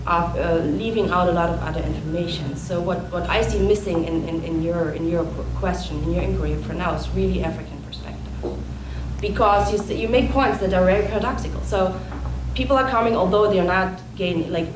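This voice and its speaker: A woman in the audience of a lecture, commenting at length with halting restarts. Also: fairly low pitch. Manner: halting, many restarts and fillers. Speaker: audience member. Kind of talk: question-and-answer comment. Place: lecture room. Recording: echoey room, distant, noisy.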